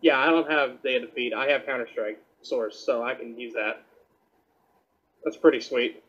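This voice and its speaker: A man's voice: medium pitch, steady tone, normal speed, a bit bright, breathy edge, highs cut off. A man chatting casually as a co-host, relaying game news and reading bits aloud while he plays. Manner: plain, reads announcements aloud while gaming. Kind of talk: casual chat over a call.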